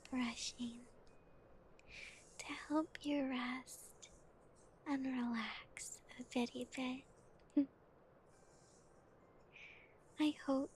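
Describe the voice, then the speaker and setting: A woman whispering gently and softly as an ASMR streamer, giggling now and then and playing a cute spooky bat persona. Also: high-pitched, slight background noise, low-quality recording. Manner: calls herself a bitty bat, spooky cutesy persona. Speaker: ASMR streamer reading chat.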